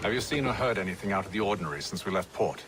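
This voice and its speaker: Composed gentleman investigator with expressive intonation. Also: low voice, over music. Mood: polite, probing. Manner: measured, formal, polite questioning. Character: composed, courteous, probing gentleman passenger on a sailing ship. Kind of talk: video game acting.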